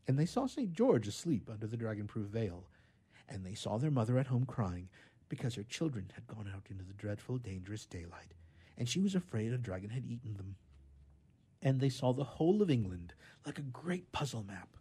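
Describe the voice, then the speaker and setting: A man narrating a children's story in an expressive, theatrical and emphatic way. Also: lowish voice, husky.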